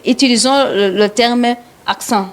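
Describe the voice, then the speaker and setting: A Rwandan woman with a Rwandan French accent, hosting a live studio talk show and pausing often on fillers and repeated words. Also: a little high. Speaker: radio show host.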